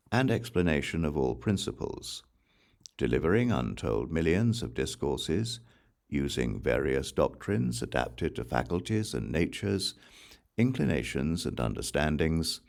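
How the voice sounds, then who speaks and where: A man reading scripture as an audiobook in a measured, solemn way, phrase by deliberate phrase. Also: extremely low pitch, vocal fry, darkish tone. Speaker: audiobook reader.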